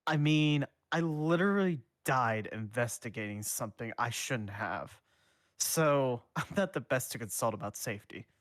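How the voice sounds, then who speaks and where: A man's voice: mid-range voice, expressive, moderate pace, fairly bright tone, dry recording, natural voice. A man voicing a blunt, wry teenage ghost boy, dry and offhand, in a fan reading.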